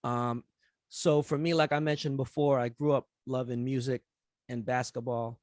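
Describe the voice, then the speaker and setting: A man's voice: medium pitch, normal speed, dry recording. Laid-back New man with a dry close sound. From United States. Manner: relaxed, rambling, many fillers and restarts. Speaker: rapper and event host speaking at a forum. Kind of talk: casual conference talk after a rap performance.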